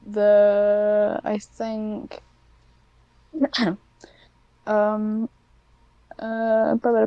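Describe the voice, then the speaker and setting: Clear-voiced young woman, dry close sound. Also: medium pitch, warm tone, dry recording, low-quality recording. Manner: rambling, self-deprecating, easily sidetracked. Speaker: podcast guest, ASMR script writer. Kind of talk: casual chat.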